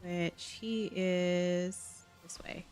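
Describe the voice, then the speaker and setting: A woman's voice: lowish voice, fairly even intonation, drawn-out words, darkish tone. A woman casually commentating as she live-streams a game and reads out its quest text. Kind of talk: casual live gameplay commentary, reading quest dialogue aloud. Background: game music.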